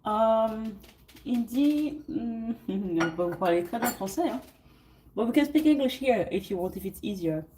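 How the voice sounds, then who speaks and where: A French woman chats loosely to her stream viewers from a hotel suite, her voice mellow and soft-edged. She rambles and restarts, leaning on fillers, and sounds a little sleepy. A faint hiss of noise sits under the recording. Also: a little high, expressive, unhurried. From France. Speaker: livestream host. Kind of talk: casual livestream chat with viewers.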